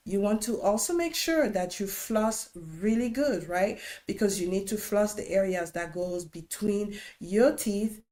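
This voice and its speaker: A woman's voice: mid-range voice, dark tone. A woman with a Congolese accent, a dentist hosting an informal educational livestream, speaking conversationally and instructively and repeating key points for emphasis. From Democratic Republic of Congo. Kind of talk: informal educational talk to viewers.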